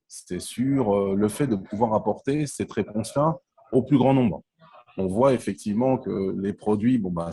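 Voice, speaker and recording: A man's voice: on the low side, mellow, slightly rough. A man from Congo in his forties, answering interview questions in a hesitant way, with frequent fillers and restarts. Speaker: interview guest, telecom entrepreneur.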